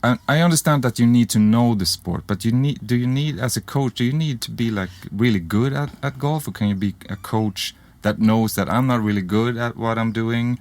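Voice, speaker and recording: A man interviewing casually, haltingly rephrasing his questions as he goes. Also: mid-range voice, dry recording.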